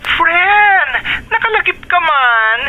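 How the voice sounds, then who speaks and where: A woman's voice: very high voice, very animated, takes it slowly, pushed and bright, lo-fi sound, a little hiss or hum, full character voice. A woman voicing a chatty friend on the phone who keeps urging her friend to come home, in a radio drama. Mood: coaxing.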